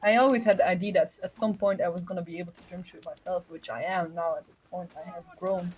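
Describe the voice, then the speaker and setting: A woman's voice: lowish voice, steady tone, quick pace, darkish tone, slight background noise, rough recording. A woman co-casting an esports match in casual live commentary, with blunt, teasing banter.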